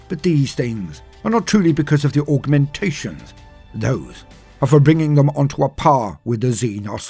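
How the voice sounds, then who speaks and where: A man delivering grandiose scripted narration like a stirring speech, emphatic and full of deliberate dramatic pauses. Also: mid-range voice, slightly rough. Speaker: narrator of a fan lore channel.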